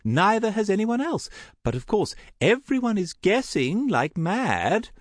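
Man voicing a gushing, high-pitched older society aunt. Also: very animated, unhurried, dry recording, studio quality, heavily acted. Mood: breezy gossip. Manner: gushing, theatrical, emphatic. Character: lively, dramatic, gossip-loving older aristocratic aunt. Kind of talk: audiobook character acting.

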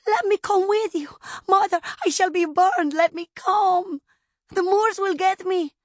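A woman voicing a frightened, imperious little princess in an audiobook, pleading and shrill. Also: unhurried, no room sound, clean studio sound. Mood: wailing, pleading. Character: terrified, imperious little princess girl, wailing and spiteful.

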